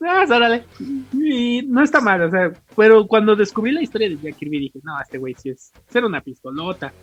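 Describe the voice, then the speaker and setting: A man's voice: sits high, expressive, brisk, no echo. A man with a Mexican accent co-hosting a podcast, explaining things in casual chat. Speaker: podcast co-host. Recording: dull lo-fi sound, music behind.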